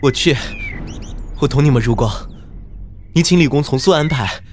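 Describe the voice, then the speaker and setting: A man's voice: medium pitch, moderate pace, a little hiss or hum, close to an everyday voice. A man playing an anguished, earnest young prince in a historical audio drama, speaking with emotion. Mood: resolute.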